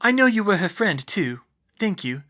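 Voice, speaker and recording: A man's voice: high-pitched, very animated, moderate pace, sharp tone, highs cut off, voice pushed up, exaggerated voice. A man voicing an earnest, anxious but determined young witch in a storybook, speaking with urgency. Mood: earnest, subdued.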